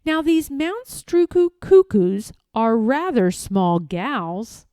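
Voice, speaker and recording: A woman reading a rhyming children's storybook aloud in an expressive, playful way, with deliberate and emphatic phrasing. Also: on the high side, no echo, studio quality.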